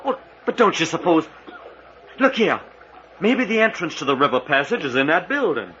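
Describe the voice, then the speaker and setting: An actor playing an impulsive, folksy young sidekick in a 1940s radio adventure, full of slang, on a muffled old recording. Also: male voice, fairly high pitch, big pitch swings, normal speed, a bit bright. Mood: excited. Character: eager, folksy young male sidekick, impulsive and wide-eyed. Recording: muffled vintage radio recording, faint noise.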